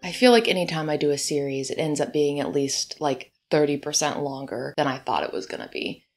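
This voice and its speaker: A woman hosting her own podcast, talking casually with chatty asides, her voice hoarse and a bit deeper in the early morning. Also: animated, fairly quick, faint music behind. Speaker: podcast host. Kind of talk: solo podcast monologue. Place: home room, birds outside.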